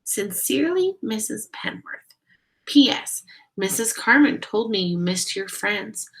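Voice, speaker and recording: A woman voicing a kind female schoolteacher in a storybook, gentle and encouraging. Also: fairly high pitch, big pitch swings, unhurried, gravelly, full character voice. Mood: pleased, encouraging.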